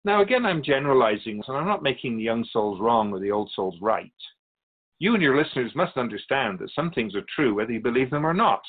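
A man playing a wise spirit who keeps souls in a radio drama, teaching in measured explanations with pointed asides. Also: mid-range voice, animated, narrow, tinny audio, close to an everyday voice. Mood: pleased, teaching. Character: ancient male spirit Keeper of Souls, wise storytelling teacher. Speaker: interviewed guest. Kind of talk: scripted radio drama dialogue.

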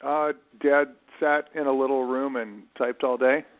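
A middle-aged man giving casual interview answers in an offhand, halting way, often restarting his sentences. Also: medium pitch, steady tone. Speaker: interview guest. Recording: thin narrow phone line.

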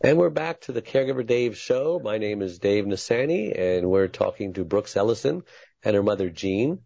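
A male podcast host interviewing a guest, asking his questions in halting, stacked phrases. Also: lowish voice, expressive, gravelly, warm tone, muffled recording, faint music behind.

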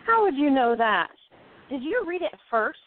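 High, sassy young actress, played by a woman. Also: normal speed. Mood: irritated. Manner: sassy, expressive. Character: feisty, sarcastic young female actress, fond but stubborn. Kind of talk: radio play acting. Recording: tinny, band-limited phone-like sound.

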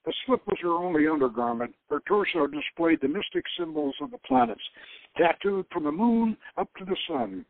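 A man narrates a novel in a dramatic storytelling style. His voice is somewhat high, and he occasionally stumbles over a phrase. It sounds dry and narrow, as if over a phone. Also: very animated, moderate pace, vocal fry, no echo. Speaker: host reading his own novel.

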